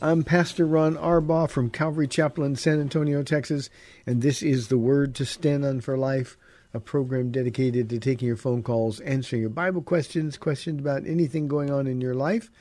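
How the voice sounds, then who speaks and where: A man hosting a call-in radio show as a pastor, giving Bible teaching in a relaxed, conversational way with frequent fillers. His voice sits deeper than usual because he is under the weather. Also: normal speed. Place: radio studio.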